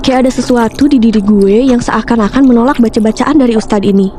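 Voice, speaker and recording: A young woman narrating a scripted first-person ghost story in casual slang, chatty and expressive, talking straight to listeners. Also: on the high side, fast talker, slight background noise. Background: background music.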